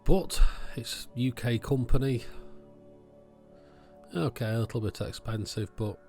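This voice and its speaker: A man's voice: mid-range voice, measured pace, a little mellow, faint music behind. A man hosting a hobby electronics video, giving casual, matter-of-fact commentary as he thinks aloud while handling and testing things.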